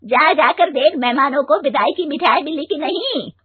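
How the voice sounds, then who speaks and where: A woman's voice: high-pitched, normal speed, no room sound, phone-quality sound, natural voice. A woman playing a bossy, chiding middle-aged mother-in-law in a cartoon family story. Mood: commanding. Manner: commanding, chiding.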